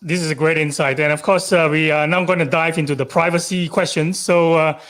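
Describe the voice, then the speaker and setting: A man. He hosts an interview show, building long conversational questions in a halting, wordy way with many small tag phrases. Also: a little high, fairly even intonation, a bit bright. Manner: halting, wordy, often tagging phrases with "you know" and "right". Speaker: podcast host interviewing a guest.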